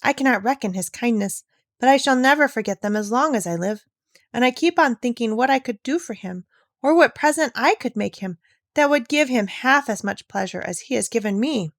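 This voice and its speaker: A woman's voice: on the high side, expressive, brisk, no room sound, clean studio sound, pitched above the performer's own voice. A woman voicing an eager, affectionate young girl in a storybook, earnest and full of thanks. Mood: eager, grateful. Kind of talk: storybook read-aloud.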